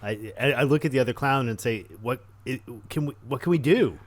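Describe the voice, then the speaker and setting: A man's voice: mid-range voice, a little fast. A man playing a tabletop game, talking casually through his character's actions and restarting his phrases.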